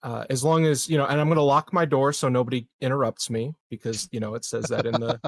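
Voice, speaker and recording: A man playing a tabletop roleplaying game, chatting casually and hesitantly as he thinks out loud. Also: mid-range voice, fairly even intonation, fast talker, no room sound.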